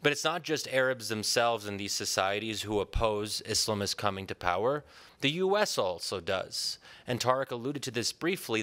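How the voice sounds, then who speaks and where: A man in his thirties from Pennsylvania with an American accent, giving a measured, thoughtful conference talk at a podium in a large hall, pausing often with ums and restarts. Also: lowish voice, fairly bright tone, clean tone. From Pennsylvania, USA. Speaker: conference speaker. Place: large hall with a seated audience. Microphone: podium microphone.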